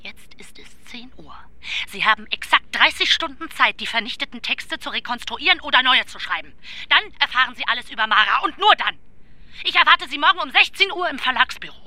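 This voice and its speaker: A woman playing a coolly demanding Berlin publisher in a German radio play, crisp, formal and authoritative. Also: high voice, animated, fairly quick, bright, forceful tone, no room sound, exaggerated voice.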